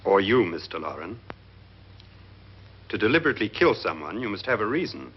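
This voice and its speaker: An actor playing a grave, suspicious guest in a haunted house, speaking formally and deliberately in 1950s horror film style. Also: male voice, on the low side, big pitch swings. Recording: muffled 1950s film soundtrack.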